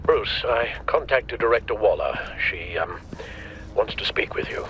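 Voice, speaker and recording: A man playing a sombre, measured confidant in a video game, heard over a dull radio link. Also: lowish voice, animated, noisy background. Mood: concerned. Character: sombre male confidant assisting a hero remotely.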